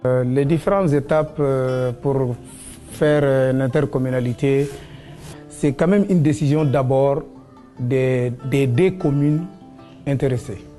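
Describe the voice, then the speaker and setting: A man's voice: medium pitch, dark tone. A man with a West African accent answering in a documentary interview, explaining in a hesitant way and repeating his phrases.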